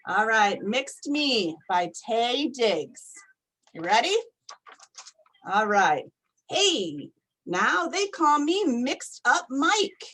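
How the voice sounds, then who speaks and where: A woman reading picture books aloud to young children, expressive and deliberate, often putting questions to her listeners. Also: on the high side, rough recording. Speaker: storytime reader for young children. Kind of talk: animated picture-book read-aloud to children.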